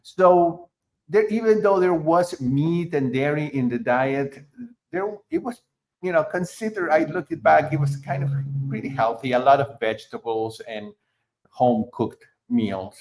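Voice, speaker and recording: A middle-aged man from Argentina, a concert pianist being interviewed, who talks in a halting way with many fillers and restarts. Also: a little high, big pitch swings, darkish tone, low-quality recording. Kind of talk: conversational interview.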